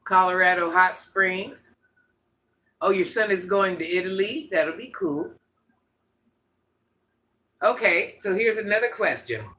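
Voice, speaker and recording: A man with an itchy, scratchy throat, hosting a livestream in a grandmother persona and chatting through viewer comments with quick laughs and asides. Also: high-pitched, pushed and bright. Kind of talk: casual live chat answering viewer comments.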